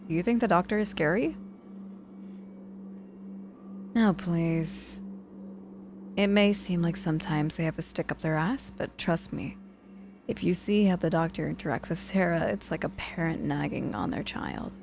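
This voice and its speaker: A woman playing a cocky but softening injured witch mentor in an ASMR audio roleplay, dryly teasing, apologetic and protective with hesitant pauses. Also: fairly low pitch, gravelly, a little hiss or hum. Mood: wry, amused.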